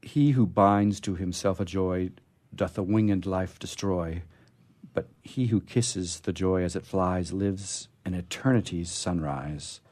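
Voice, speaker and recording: A man with a very low voice presents poetry on a radio program. His verse reading is measured and solemn, and his commentary around it is informal and conversational. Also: a little mellow. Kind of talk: poetry recitation with casual commentary.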